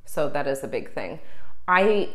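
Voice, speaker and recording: Woman, very low voice, chatty, lots of "like", casual podcast co-host. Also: very animated, unhurried. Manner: chatty, filler-heavy, lots of "like". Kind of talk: casual chat.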